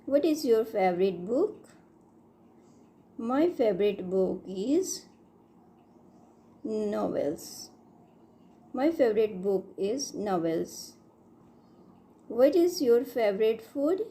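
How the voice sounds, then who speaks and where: A woman reads simple practice sentences at a very slow pace, saying each one twice. Her voice sits a little high, with a soft, mellow tone. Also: big pitch swings. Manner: reads each line twice, slowly and clearly. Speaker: English teacher. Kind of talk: slow repeated phrase drills for learners.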